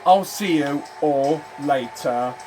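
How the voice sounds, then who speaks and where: A man's voice: fairly high pitch, slow pace, darkish tone, soft background music, low-quality recording. A man hosting a gaming channel, commenting on a racing management game while losing his voice, with a repetitive habit of saying "then" and "I'm afraid".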